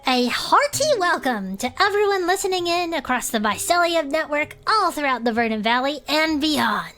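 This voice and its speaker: A woman's voice: high voice, lively intonation, moderate pace, pushed and bright. A woman playing a friendly, bookish turtle-folk investigator who explains things earnestly and chirpily as a podcast host in an audio drama. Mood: cheerful, earnest.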